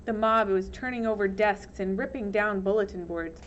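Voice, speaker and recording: A woman's voice: mid-range voice, moderate pace, lo-fi sound, a little hiss or hum. A woman reading a children's novel aloud in scripted storybook narration. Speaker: audiobook reader.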